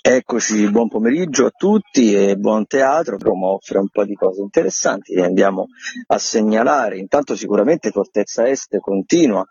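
A man's voice: on the low side, expressive, normal speed, warm tone, slightly rough, no room sound, highs cut off. A man, a theatre critic on radio, going through event listings in a casual, hesitant, rambling way. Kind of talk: semi-scripted listings rundown on radio.

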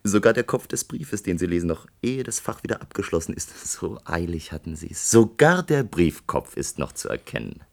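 An actor playing a bitter, gifted young doctoral student in a German radio play, sarcastic and accusing, with deliberate delivery. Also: male voice, low-pitched, big pitch swings, creaky, full character voice. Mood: coldly triumphant.

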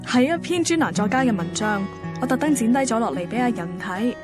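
A woman's voice: a little high, expressive, fairly quick, close to an everyday voice. An actress playing a caring, demanding yet tender young girlfriend in a radio drama, speaking Hong Kong Cantonese. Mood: reflective narration. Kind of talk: radio drama acting and first-person narration. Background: music.

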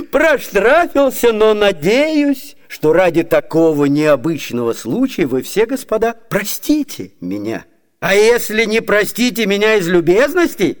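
An actor playing a cheeky, quick-witted servant in a comedy radio play, theatrical and boastful. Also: male voice, sits high.

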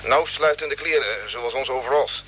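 A man's voice: mid-range voice, normal speed, bright, forceful tone, close to an everyday voice. A man acting an earnest, dutiful space freighter crewman in mid-century radio drama, deferential toward his superiors. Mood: unsure, deferential. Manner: deferential, earnest, hesitant when questioned. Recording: humming, noisy old radio drama recording.

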